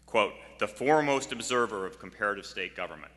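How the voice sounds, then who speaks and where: A man's voice: fairly low pitch, lively intonation, brisk, a bit bright. An older man giving a prepared tribute speech as an academic speaker at a memorial. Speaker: political science scholar, memorial speaker. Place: large music hall, at a memorial event. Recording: reverberant hall sound.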